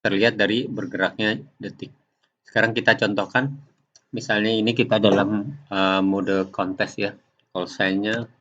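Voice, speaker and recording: Low-pitched man, dull lo-fi sound. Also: fairly even intonation, fairly bright tone. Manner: step-by-step, halting explanation. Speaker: software tutorial presenter. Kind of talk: unscripted screen-recording tutorial. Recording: dull lo-fi home recording.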